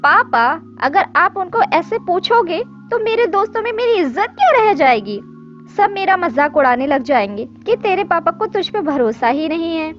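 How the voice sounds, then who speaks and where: A woman voicing a spoiled, bold young woman from a rich family in an animated story. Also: very high-pitched, normal speed. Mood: whiny, defensive. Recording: dull lo-fi audio with music.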